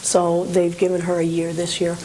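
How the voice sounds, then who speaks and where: A woman's voice: fairly low pitch, completely flat delivery, normal speed, dark tone. A woman collector being interviewed, explaining items in an informal, matter-of-fact show-and-tell way. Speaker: memorabilia collector, interview guest. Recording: hissy, noisy old TV recording.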